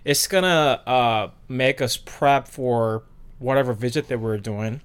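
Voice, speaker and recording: A man talks through a podcast in easy, meandering casual chat. He stops often on fillers and restarts. His voice sits at an ordinary middle pitch for a man. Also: unhurried, clean tone. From South Korea. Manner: loose and rambling, many fillers and restarts. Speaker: podcast co-host.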